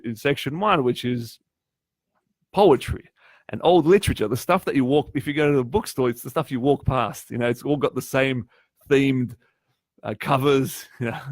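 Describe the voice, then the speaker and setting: A man whose first language is Polish gives a chatty coaching talk live to viewers, often asking them questions. His voice sits at an ordinary middle pitch. It was recorded on a close, dry mic with almost no room sound. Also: no room sound. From Poland. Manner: chatty, asks the audience questions. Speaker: test prep tutor.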